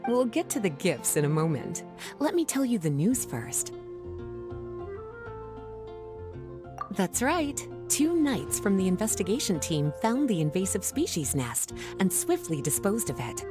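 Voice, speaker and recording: A poised, kindly woman played by an actress talks with medium pitch and very expressive intonation. She is indulgent and gently teasing, coaxing like an affectionate game character, with soft music behind her. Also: lightly acted. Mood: informative. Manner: unhurried, coaxing, gently playful. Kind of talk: animated video game voice acting.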